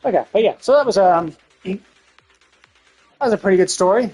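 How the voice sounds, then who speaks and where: Male, husky, slightly higher-pitched voice, casual game livestream chat, rambling, thinking out loud, music behind. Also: expressive, brisk. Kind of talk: casual chat while streaming games.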